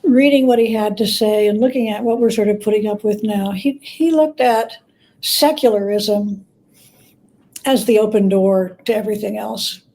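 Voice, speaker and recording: A woman speaking as a guest scholar in an interview, explaining things conversationally in halting, thoughtful phrases with self-corrections. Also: mid-range voice, fairly even intonation, warm tone, poor audio.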